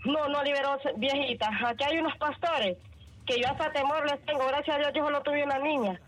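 Earnest high-voiced caller on the phone. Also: male voice, fairly even intonation, fairly quick, fairly bright tone, rough recording. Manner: earnest, moralizing, rambling. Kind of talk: call-in opinion.

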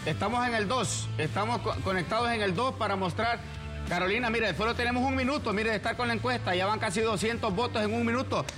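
A man's voice: high voice, quick pace, over music. A Honduran man with a Honduran accent co-hosting a morning show, teasing and joking with his colleagues. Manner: jokey, teasing. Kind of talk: joking banter.

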